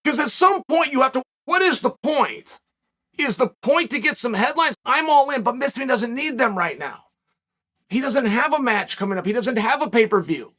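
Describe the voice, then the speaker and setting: A man in his forties hosting a solo commentary show, speculating and telling stories in an animated, emphatic way from a dark studio set. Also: very high voice, moderate pace.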